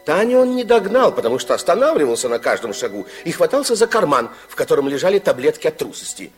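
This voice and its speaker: A man narrating a fairy-tale radio play from the 1960s in an expressive, theatrical way. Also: fairly high pitch, fast, faint music behind. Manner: theatrical, storytelling.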